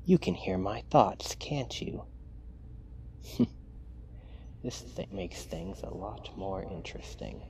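A man playing a cold, menacing, possessive mad scientist in an ASMR roleplay, clinical and taunting in a hushed way. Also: sits low, sing-song, slow pace, gravelly, long pauses, background noise, full character voice. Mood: intrigued, scheming. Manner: clinical dictation mixed with hushed, taunting asides.

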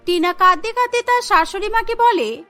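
A woman's voice: extremely high pitch, lively intonation, moderate pace, sharp tone. A woman narrating a scripted cartoon story as its storyteller. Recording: music behind the voice.

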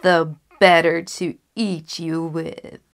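A woman as a slow, sly big bad wolf. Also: sits low, flat delivery. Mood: menacing. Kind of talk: storybook character reading.

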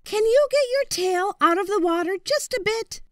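A woman's voice: extremely high pitch, lively intonation, moderate pace, a bit bright, dry recording, studio quality, voice pushed up. A woman voicing a courteous, resourceful boy adventurer in a children's storybook, with a persuasive manner. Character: chirpy, polite, resourceful young boy adventurer.

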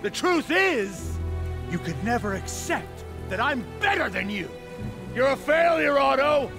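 A man's voice: very high voice, big pitch swings, measured pace, creaky, over music, exaggerated voice. A man playing an arrogant, defiant middle-aged tycoon in a video game, with contemptuous, emphatic delivery. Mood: scornful.

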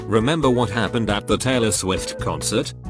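A jaded, unimpressed young friend in a cartoon, voiced by a man, speaking in a dry way. His voice sits low, with loud music playing underneath. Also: normal speed, natural voice.

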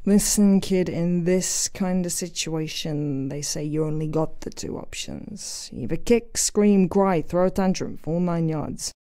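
A woman's voice: lowish voice, a little slow, hardly any pauses, mellow, no echo, close to an everyday voice. A woman playing a gritty, world-weary, cynical tough character, blunt and sardonic, in a voice acting reel.

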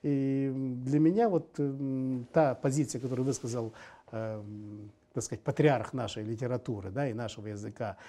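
A man in his fifties, a guest in a TV studio discussion, talking emphatically into a lapel mic, jumping from loud bursts to low asides. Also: steady tone, normal speed. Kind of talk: animated political discussion.

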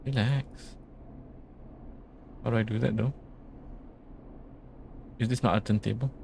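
A man giving casual, low-key gameplay commentary, muttering to himself as he goes. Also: steady tone, unhurried, creaky, dark tone, a little hiss or hum. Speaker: let's play gamer.

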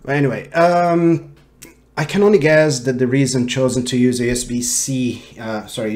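A man in his thirties giving a casual tech product review with hesitant, halting phrasing. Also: mid-range voice, a little mellow. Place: small home office, indoors.

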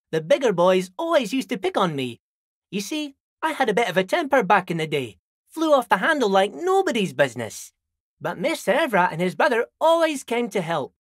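A man's voice: sits high, big pitch swings, normal speed, no room sound, studio quality. An actor playing a friendly, simple-minded old dockworker in a video game, folksy and rambling, repeating himself as he chats. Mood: friendly, chatty. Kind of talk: video game character dialogue.

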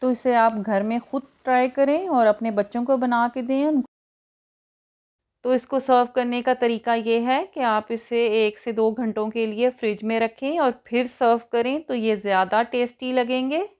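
High-voiced woman narrating a recipe. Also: moderate pace, a little mellow. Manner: instructional, step-by-step. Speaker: cooking show host. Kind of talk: recipe tutorial voice-over.

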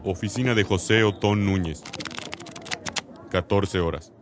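Deep male announcer over noisy ambience. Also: unhurried. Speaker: scene announcer. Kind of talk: terse scripted scene announcements.

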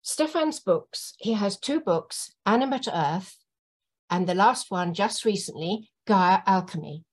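A woman moderating a talk from a room at home over a video call, introducing the speaker with admiration, sometimes pausing mid-sentence. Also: mid-range voice, expressive.

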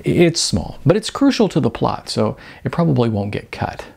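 A man's voice: mid-range voice, sing-song, moderate pace, gravelly, a little mellow, slightly rough, exaggerated voice. A man voicing an earnest, flustered young waitress in a storybook reading, hesitant and correcting herself. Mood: modest, nervous. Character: earnest, flustered female waitress and aspiring actress.

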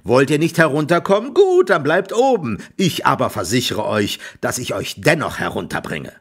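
A man voicing a gruff, stout middle-aged farmer who scolds and threatens in a rough voice in an audiobook. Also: medium pitch, sing-song, normal speed. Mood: gruff, threatening. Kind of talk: audiobook character voice.

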